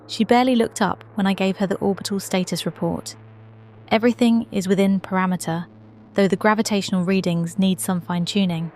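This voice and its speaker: A woman acting as a young lieutenant aboard a space station. She records her log in a measured, reflective way, modest and dutiful, with an ordinary mid-range voice in audio drama style. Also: medium pitch, steady tone, darkish tone, a little hiss or hum, natural voice.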